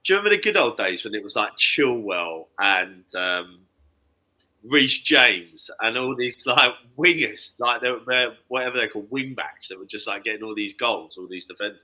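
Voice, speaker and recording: A man with a low voice and a very bright, forceful edge co-hosts a relaxed football chat. He jokes easily and has a chesty cough. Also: normal speed, low-quality recording. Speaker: podcast co-host.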